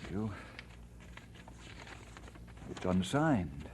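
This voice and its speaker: An actor playing an earnest, conscientious male pastor in an audio drama, speaking in a measured, deliberate way. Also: low-pitched, sing-song, a little mellow, slight background noise, exaggerated voice. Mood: courteous. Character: earnest, conscientious male pastor torn over his calling. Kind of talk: dramatised radio-play acting.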